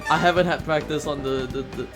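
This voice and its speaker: A man playing a video game live and commentating on it casually in a jokey, excitable way as a speedrunner. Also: on the high side, brisk. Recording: game music behind the voice. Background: video game music.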